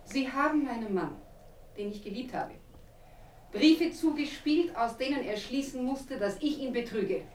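A bitter widow bent on revenge in a radio drama. Her highish voice is menacing and resolute, heard slightly distant in a very roomy space. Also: animated, a little mellow, slight background noise. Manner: deliberate, accusing, menacing. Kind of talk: radio play acting.

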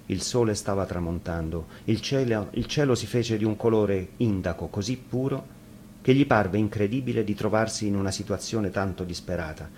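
A man's voice: fairly low pitch, a little mellow, a little hiss or hum. A man narrating a scripted fairy tale as a dramatic storyteller.

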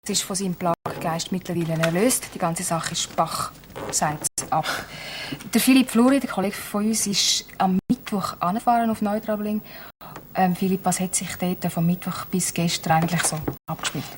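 A woman's voice: lowish voice, fairly even intonation, moderate pace, a little hiss or hum. A woman with a Swiss German accent, presenting a radio magazine as host.

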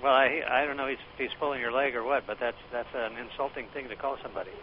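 Flustered stammering restaurant manager on the phone. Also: male voice, mid-range voice, fairly even intonation, racing. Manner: flustered, stammering, repeats words. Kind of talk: phone call. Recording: dull lo-fi phone recording with faint noise.

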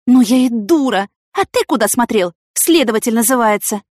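A woman's voice: fairly high pitch, animated, moderate pace, a little mellow, dry recording, studio quality, pitched above the performer's own voice. A woman voicing a vain, impulsive, dramatic young woman in an audiobook, with theatrical, indignant exclamations. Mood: frantic, wailing. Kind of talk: audiobook character acting.

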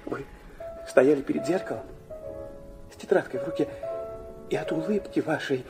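An actor playing an impassioned, wounded young illegitimate son in a Russian radio drama, speaking fervently and haltingly. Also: male voice, a little high, lightly acted. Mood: wistful. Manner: fervent, halting, breaking into repetitions. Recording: orchestral violin music behind the voice.

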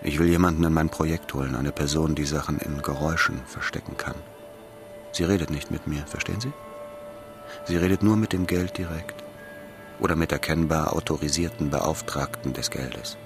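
An actor playing a mysterious, fatherly older man with a measured, cryptic way of talking in a radio drama. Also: extremely low pitch, fairly even intonation. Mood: urgent, businesslike. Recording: music behind the voice. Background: music.

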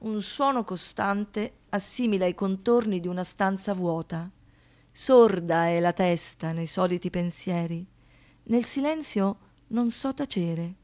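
A woman acting an anguished, defiant survivor of violence in a theatrical radio monologue, with an intense delivery that moves between hushed and explosive. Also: mid-range voice, unhurried, close to an everyday voice. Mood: contemplative, poetic. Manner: intense, swinging from hushed to outbursts.

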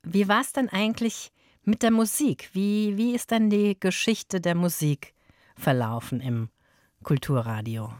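A woman hosting a radio talk, moderating conversationally and putting deliberate weight on key words. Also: medium pitch, darkish tone, no echo, clean studio sound. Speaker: radio host. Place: dry radio studio.

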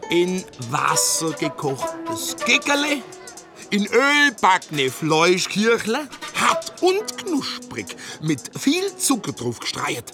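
A man's voice: high voice, huge pitch swings, slow pace, creaky, full character voice. An actor playing a boastful male fairground cook in broken German, with singsong sales patter. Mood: hawking. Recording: radio play with music behind.

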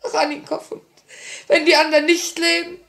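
An actress playing a grieving but resolute young revolutionary in a 1950s German radio play, solemn and theatrical. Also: female voice, high voice, level tone, fairly quick, vocal fry, fairly bright tone. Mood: anguished. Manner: theatrical, solemn, impassioned delivery. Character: grieving, resolute young woman revolutionary, torn between love and duty.

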